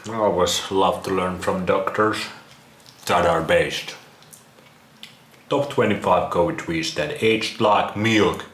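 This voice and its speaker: A man with a Finnish accent talks slowly in a fairly low voice, like a vlogger reacting to things. He is casual, sarcastic and crude, with a mocking manner. The room adds clear echo. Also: fairly bright tone. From Finland. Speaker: vlog host. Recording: roomy echo, some background noise.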